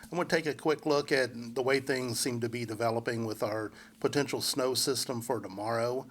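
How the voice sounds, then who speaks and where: A man with a congested head cold giving a relaxed, unscripted local weather forecast, full of fillers and restarts. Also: mid-range voice, brisk. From central Indiana. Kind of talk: casual forecast walkthrough.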